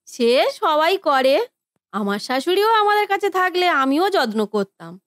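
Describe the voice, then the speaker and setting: A high-voiced, touchy grown daughter acted by a woman in a family cartoon. Her delivery swings up and down a great deal, emphatic and complaining, as someone easily offended and quick to defend herself. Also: very animated, fairly bright tone, dry recording, clean studio sound, full character voice. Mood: defensive. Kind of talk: Bengali family cartoon voice acting.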